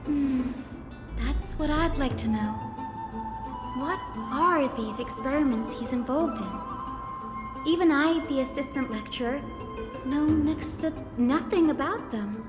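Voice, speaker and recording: Echoing, reverberant sound with clear music behind it. a young female academy scholar from a video game, high-pitched and articulate. She explains things thoughtfully, with a curious, musing manner. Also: big pitch swings, takes it slowly, exaggerated voice. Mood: puzzled, uneasy. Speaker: assistant lecturer at a scholarly academy. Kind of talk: video game character dialogue.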